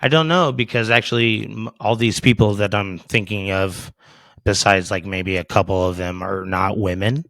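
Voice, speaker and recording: A man in his thirties talks bluntly in a casual podcast conversation, swearing often and getting animated as he goes. His voice sits fairly low. The sound is close and dry, with no room around it. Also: normal speed, dry recording. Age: 30s. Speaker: podcast guest.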